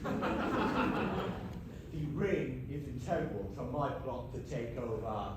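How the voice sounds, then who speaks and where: A man's voice: medium pitch, lively intonation, takes it slowly, a little mellow. A man playing a pompous, exasperated villain wizard in a comic stage pantomime, declaiming grandly. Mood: commanding, indignant. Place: stage in a hall with live audience. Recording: echoey theatre, distant mic, noisy.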